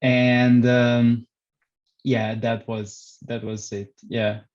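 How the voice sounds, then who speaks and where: Man with a Portuguese accent, slow and hesitant, flat delivery, dull lo-fi sound. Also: medium pitch. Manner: hesitant, many fillers and restarts. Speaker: podcast guest, frontend developer. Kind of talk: casual interview answers.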